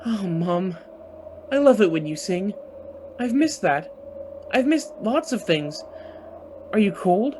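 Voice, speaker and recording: A man acts out a dazed son under the spell of an apparition in a radio drama. His pitch moves a great deal as he speaks with hesitant, dreamy questions. Faint wind ambience lies behind him. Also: very animated, slow, long pauses, a little mellow, full character voice. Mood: entranced, tender. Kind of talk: naturalistic radio play acting.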